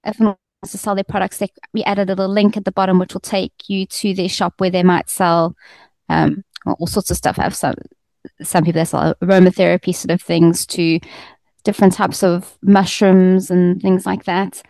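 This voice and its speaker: A woman's voice: lowish voice, flat delivery, normal speed, gravelly, darkish tone, no room sound. A woman magazine editor describing her publication in a casual interview, talking easily with frequent ums and restarts. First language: Afrikaans second language; first language English. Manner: friendly, fluent with frequent ums.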